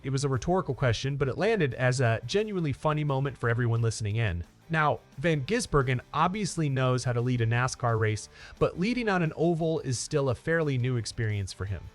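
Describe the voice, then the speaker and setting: A man's voice: mid-range voice, animated, a little fast, hardly any pauses. A man narrating scripted motorsport news in an upbeat, emphatic presenter style. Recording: music under the voice. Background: background music.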